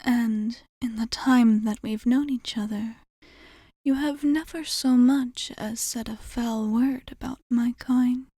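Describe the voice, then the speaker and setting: A woman acts out an ancient female vampire bartender in an intimate ASMR roleplay. Her voice is soft, mellow and breathy, often slipping into a whisper, and it sits fairly high. She speaks very slowly and formally, composed and attentive, in a close, dry recording. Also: fairly even intonation, dry recording. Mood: thoughtful, reassuring. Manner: measured, formal wording with thoughtful pauses.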